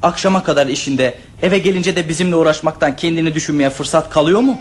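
A man's voice: fairly high pitch, fast, loud music behind the voice, close to an everyday voice. An actor in a radio play voicing a bossy, know-it-all teenage eldest brother who orders his siblings around. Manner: bossy and commanding.

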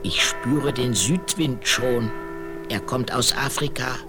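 A man as a slow, plaintive weather-sensitive grumbler. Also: medium pitch, over music, close to an everyday voice. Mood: ominous, explaining. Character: weather-sensitive, self-pitying figure complaining of headaches. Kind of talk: 1970s German children's fairy-tale audio drama. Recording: faint noise, 1970s vinyl record.

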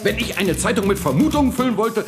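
An actor playing an exasperated, domineering newspaper chief in a radio drama, stern and lecturing. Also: male voice, high-pitched, lively intonation, fast, music in the background. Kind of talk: German radio drama acting.

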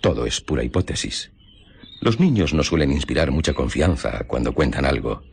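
Grave male investigator, Spain accent, radio drama. Very low, very husky, formal and methodical. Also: expressive, normal speed, exaggerated voice. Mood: grave, measured. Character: grave male police investigator recounting a murder. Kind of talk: Spanish radio drama acting.

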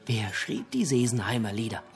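An actor playing a talkative literature buff in a radio drama, lecturing theatrically in pithy, punchy fragments. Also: male voice, medium pitch, moderate pace, faint music behind, close to an everyday voice. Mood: relishing, theatrical. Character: talkative literature buff holding forth in a pub.

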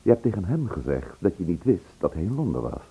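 A man's voice: low voice, big pitch swings, quick pace, gravelly, dark tone, breathy edge, exaggerated voice, low-quality recording. An actor playing a worried, insistent man pressing for the truth in a radio play. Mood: insistent, reproachful. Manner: probing, insistent.

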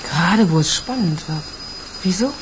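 A woman's voice: on the low side, animated, moderate pace, natural voice, poor audio. A woman playing a resolute, curious police detective in a German radio crime drama. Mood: calm, curious.

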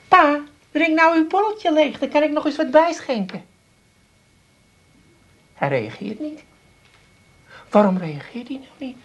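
An actress playing a flustered, fussing Amsterdam housewife who coaxes and repeats herself in a comic radio play. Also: female voice, sits high, exaggerated voice. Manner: fussy, coaxing, repetitive. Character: fussing, flustered working-class Amsterdam housewife humouring old father-in-law.

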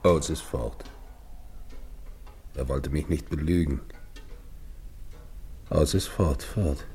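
An actor playing an anguished, guilt-ridden, dying polar expedition captain in a German radio play, with halting, brooding delivery. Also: male voice, extremely low pitch, dark tone, soft background music. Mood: grieving, weary.

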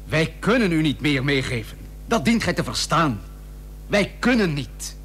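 A man playing a haughty, argumentative admiralty gentleman in a historical radio drama, formal and pressing. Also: fairly high pitch, very animated, a little slow. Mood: placating. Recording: noisy old radio recording with hum.

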